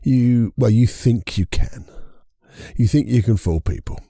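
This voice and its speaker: A man with a croaky voice hosting a casual solo podcast, chatty and rambling, correcting himself as he goes. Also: mid-range voice, measured pace, dark tone, dry recording, studio quality. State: croaky voice from a lingering cold. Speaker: podcast host and hypnotherapist.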